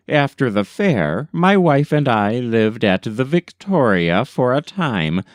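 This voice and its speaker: A man narrates an old newspaper story from a script. His pitch sits in the middle range and he reads at a slow pace. The sound is dry, with very little room in it. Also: dry recording. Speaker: volunteer reader.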